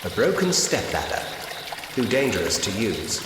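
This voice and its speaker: A man's voice: fairly low pitch, very animated, moderate pace, background noise. An actor playing a curious, polite man in a ghost-story adventure game, musing wryly to himself in short scripted remarks. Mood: pensive, observant. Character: curious, polite man investigating a haunted Victorian house. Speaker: video game protagonist narrating his actions. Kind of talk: scripted point-and-click adventure game voice acting. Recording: long echoing reverb with music behind. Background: music.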